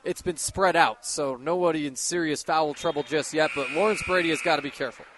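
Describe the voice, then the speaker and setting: A man with a fairly high voice gives color commentary on live basketball radio. He sounds conversational and explanatory, adding analysis, with the game and bouncing balls heard behind him. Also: a little fast, background noise.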